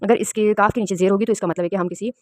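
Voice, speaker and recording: A woman's voice: mid-range voice, fairly even intonation, racing, no echo. A woman from Pakistan, teaching an Arabic lesson and patiently explaining each word. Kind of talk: spoken language lesson.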